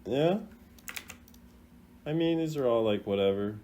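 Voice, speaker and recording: Animated man chatting casually about games. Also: mid-range voice, a little slow, slight background noise. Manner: animated, acts out imagined quotes in exaggerated voices. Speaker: gaming content creator. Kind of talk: casual chatty commentary on game voice lines.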